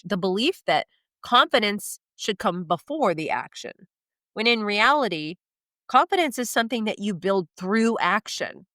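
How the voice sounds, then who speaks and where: A woman's voice: medium pitch, a bit bright, no echo. Woman host, stuffy and nasal from a cold, upbeat coaching delivery, dry close mic. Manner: motivational, emphatic with rhetorical questions. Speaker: podcast host and career coach. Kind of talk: scripted solo podcast monologue.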